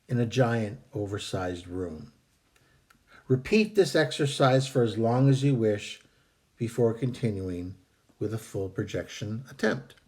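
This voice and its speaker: A man hosting a video, calmly reading out and explaining a meditation technique with some hesitant fillers, while fighting a cold that is costing him his voice. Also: medium pitch. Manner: calm, measured, with hesitant fillers. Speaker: host.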